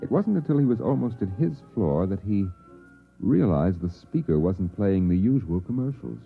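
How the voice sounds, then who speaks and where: A male actor narrating an uncanny story in a measured, dramatic old-time radio style. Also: fairly low pitch, sing-song, vocal fry. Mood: grave. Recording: tinny old radio recording with music.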